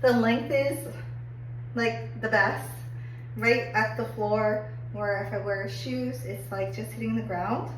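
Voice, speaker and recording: A woman getting over a cold and a little hoarse, chatting upbeat through a clothing try-on review with lots of "like"s. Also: on the high side, steady tone, takes it slowly. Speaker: fashion haul vlogger. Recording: echoey room, distant mic, faint hum.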